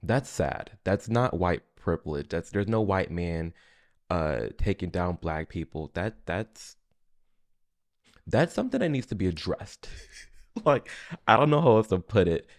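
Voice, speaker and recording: An American man with a low voice and heavy vocal fry gives casual reaction commentary. His delivery is informal and emphatic, like off-the-cuff talk. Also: expressive, a little slow. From United States. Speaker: reaction video host, commentator.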